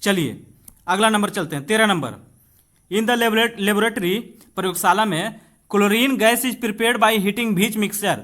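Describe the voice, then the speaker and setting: A man's voice: high-pitched, a bit bright. A man with an Indian accent teaching an exam-prep lesson, reading questions aloud and explaining them directly to students in an instructive way. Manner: instructive, addresses students directly, slows down when reading out English.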